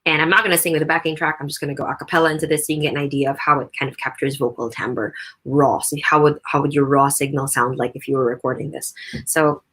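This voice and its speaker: A young woman with a low mezzo voice, chatting loosely with lots of fillers while reviewing a microphone up close in a home room. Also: steady tone, fast talker, a bit bright. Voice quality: low mezzo voice, crisp consonants. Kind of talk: casual spoken review. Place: untreated home room, not soundproof. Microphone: studio USB condenser microphone close up.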